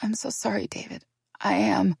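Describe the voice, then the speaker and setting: A woman's voice: mid-range voice, steady tone, moderate pace, vocal fry, husky, no room sound, clean studio sound, voice pushed up, full character voice. A woman reading an audiobook voices a distraught young woman confessing a painful secret, her speech pleading and breaking into tears. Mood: remorseful.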